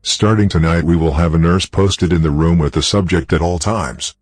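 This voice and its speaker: A man narrating a scripted horror story as a series of clinical reports, in a formal and measured manner. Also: low voice, a little mellow, dry recording, studio quality, faint music behind.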